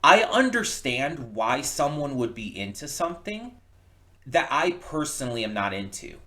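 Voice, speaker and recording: A man giving casual, unscripted reaction commentary, thinking aloud in a reflective, halting way and often repeating words. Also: mid-range voice, a bit bright. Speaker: reaction video host. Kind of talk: casual unscripted commentary, post-viewing thoughts.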